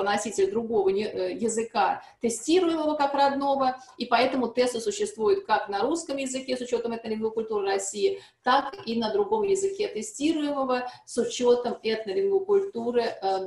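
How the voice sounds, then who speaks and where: A woman speaks at a seminar in a fairly high voice, explaining carefully and often pausing on hesitant fillers. The room around her is echoey, like a conference hall. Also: darkish tone. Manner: frequent hesitations and restarts, explanatory. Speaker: seminar speaker on language testing. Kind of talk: academic presentation.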